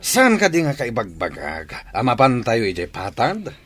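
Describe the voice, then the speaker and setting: A man's voice: mid-range voice, huge pitch swings, unhurried, a bit bright, husky, heavily acted. A man playing a sly, bossy gang leader giving orders in a comedy radio drama. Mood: scheming. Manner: bossy, scheming. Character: sly, commanding male gang boss.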